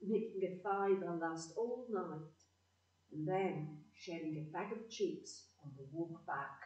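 A woman's voice: lowish voice, darkish tone. Woman acting a blunt fond older auntie type telling family stories, takes her time, roomy and off the mic. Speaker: narrator and actor in a community audio play. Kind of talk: storytelling in a community radio play. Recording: roomy echo, distant microphone.

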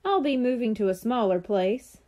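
A woman's voice: a little high, animated, normal speed, dark tone, natural voice. A woman voicing a friendly older male school janitor in a children's storybook, easygoing and cheerful.

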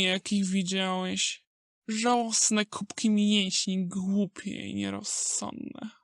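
A woman playing an old male wolf in a Polish audio drama, a smooth, contemptuous schemer with a creaky voice and an insinuating manner. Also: medium pitch, fairly bright tone, dry recording, clean studio sound.

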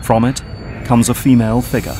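A man narrating an audio drama in a measured, scripted style. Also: medium pitch, level tone, loud music behind the voice.

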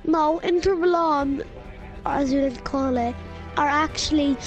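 A young person bantering and teasing a friend while playing a video game, laughing often. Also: female voice, high-pitched, measured pace, highs cut off. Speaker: gamer. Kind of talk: casual banter while gaming. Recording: game music behind. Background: game menu music.

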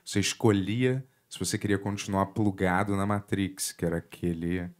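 A man's voice: low-pitched, fairly even intonation, normal speed. A man in his thirties with a Carioca accent, hosting a casual podcast chat in a studio, talking loosely with lots of fillers and trailing questions. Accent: Carioca Brazilian Portuguese. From born in Portugal, raised in Rio de Janeiro. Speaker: podcast host. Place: studio with curtains, indoors. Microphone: boom mic with headphones.

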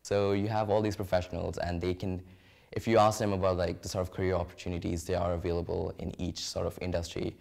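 A man's voice: sits low, level tone, fast talker, creaky, darkish tone. A young man from Hong Kong, an engineering student talking casually in an interview. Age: young adult.

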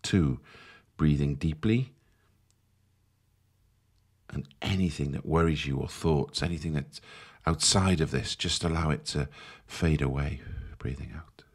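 A man leading a guided meditation in a soothing, measured, instructive manner. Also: a bass voice, husky.

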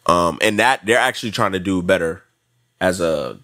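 Low-voiced young American man. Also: lively intonation, normal speed, sharp tone. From South Florida, United States. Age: late twenties. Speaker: podcast guest, track athlete. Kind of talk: casual interview chat.

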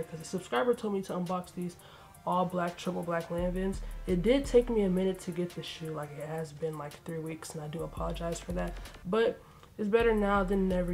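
Laid-back young woman, a little stuffy-nosed. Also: on the low side. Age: young adult. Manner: laid-back, chatty, slangy. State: congested. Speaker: sneaker vlogger. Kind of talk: casual unboxing review. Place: bedroom with shoe shelves, indoors.

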